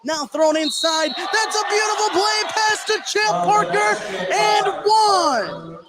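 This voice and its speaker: A man doing live play-by-play radio commentary of a high school basketball game, with excitable delivery. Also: takes it slowly. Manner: excitable, voice swinging up on big plays. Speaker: play-by-play sports announcer. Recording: clearly noisy live gym broadcast. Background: gymnasium game noise.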